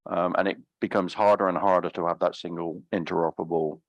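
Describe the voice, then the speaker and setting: A man giving closing remarks as a discussant on a conference panel, often halting and repeating words as he thinks. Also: sits low, steady tone, no room sound, lo-fi sound.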